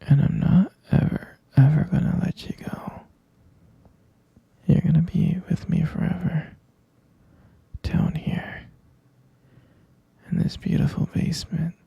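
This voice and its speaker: A man playing an obsessive, delusional male captor in a whispered ASMR roleplay, cooing sweetly and possessively in a breathy, creaky hush. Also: fairly high pitch, steady tone, takes it slowly, pauses often, no room sound, lo-fi sound, heavily acted. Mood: tender, possessive. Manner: hushed, cooing, coaxing, repeating himself.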